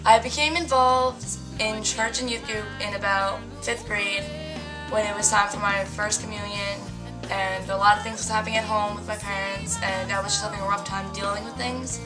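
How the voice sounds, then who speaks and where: A woman's voice: mid-range voice, steady tone, normal speed, loud music behind the voice, rough recording. A teenage girl in an interview, candidly reflecting on a hard time in her childhood. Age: teens. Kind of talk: unscripted interview answer.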